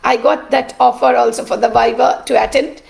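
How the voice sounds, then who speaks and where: High-pitched woman with a cracked voice. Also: steady tone. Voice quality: cracked, rough voice. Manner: halting, with many "uh" pauses. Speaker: artist and art teacher. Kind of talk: personal storytelling.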